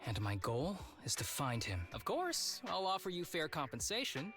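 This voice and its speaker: A man's voice: a little high, huge pitch swings, moderate pace, a bit bright, soft background music, full character voice. A man voicing a laid-back, teasing young executive officer in a video game, languid and theatrical. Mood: confident.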